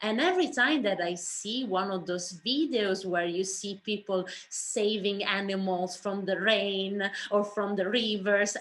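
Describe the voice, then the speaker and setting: A woman speaking earnestly and haltingly in a personal reflection for an online panel. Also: medium pitch, a bit bright. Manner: earnest, halting, searching for words.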